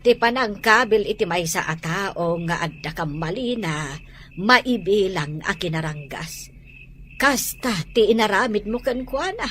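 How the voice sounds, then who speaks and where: A woman playing an excitable, nosy village relative in an Ilocano comedy drama. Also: medium pitch, measured pace, slight background noise. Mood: concerned.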